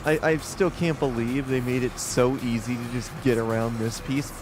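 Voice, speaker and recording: A man with a loud voice giving rambling, casual commentary while streaming a game live, often restarting his sentences and talking to the game. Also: mid-range voice, steady tone, moderate pace, music in the background, poor audio. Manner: rambling, self-correcting, talks to the game.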